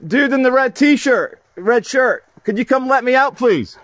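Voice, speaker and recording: A man in his thirties filming himself outdoors on a driveway with a handheld camera, talking loudly, pleading and indignant. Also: very high-pitched, animated, a little slow, no room sound. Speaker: YouTuber filming a confrontation. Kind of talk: confrontational self-filmed vlog. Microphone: handheld camera mic.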